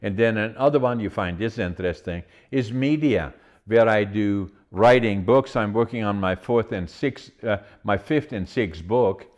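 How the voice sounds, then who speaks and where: A man's voice: fairly low pitch, moderate pace, darkish tone, lo-fi sound. A man from the Netherlands with a Dutch accent, a podcast host talking casually in a rambling way and restarting his phrases. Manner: rambling, repeats words when restarting. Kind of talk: casual interview conversation.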